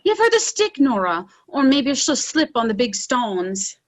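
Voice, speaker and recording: A woman's voice: fairly high pitch, very animated, measured pace. A woman playing a capable, scolding young peasant daughter in a stage play, earnest. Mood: urgent, concerned. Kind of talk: stage drama read as virtual play.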